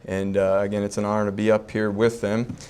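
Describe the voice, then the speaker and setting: A man's voice: fairly low pitch, flat delivery, a little fast, darkish tone. A man, a chiropractor giving a folksy, joking talk to an expo audience. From Fargo, North Dakota. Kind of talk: public talk at a health expo. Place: expo breakout session room before a live audience.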